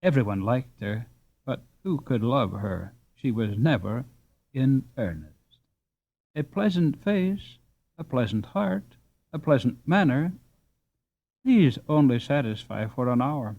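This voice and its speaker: Lively storybook reading by a man. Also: medium pitch, slow, long pauses. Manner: gentle expressive reading with lilting emphasis. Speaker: audiobook narrator. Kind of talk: scripted storybook narration. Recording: dull lo-fi recording.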